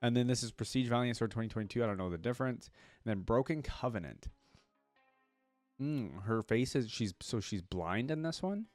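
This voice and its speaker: A man's voice: medium pitch, lively intonation, normal speed, a little mellow, dry recording, faint music behind. A man giving casual, slangy reaction commentary as a video host, thinking aloud as he goes.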